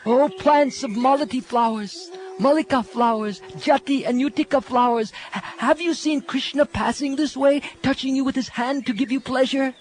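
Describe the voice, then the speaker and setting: A man's voice: very high-pitched, pitched above the performer's own voice. A man voicing distraught, lovelorn cowherd women who plead in exclamations, in a dramatic scripture reading. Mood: desperate pleading. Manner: imploring, exclamatory, drawn-out. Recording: music under the voice.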